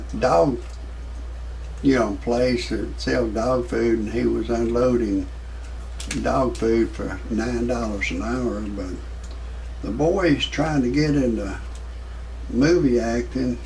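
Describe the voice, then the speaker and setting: A man in his sixties giving rambling, off-the-cuff commentary in a folksy, repetitive, halting way, as an amateur video host. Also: medium pitch, gravelly, a little mellow, distant mic, a little hiss or hum, rough recording. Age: 60s.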